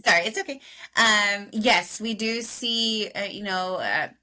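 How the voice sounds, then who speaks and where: A woman's voice: medium pitch, measured pace, bright, forceful tone. A woman in her thirties from Mexico, a loan officer answering interview questions agreeably, with hesitant fillers.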